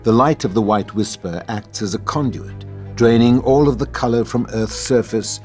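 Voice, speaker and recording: A man's voice: medium pitch, moderate pace, a little mellow, soft background music. A man narrating a scripted drama, reading stage directions. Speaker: narrator of a script table read.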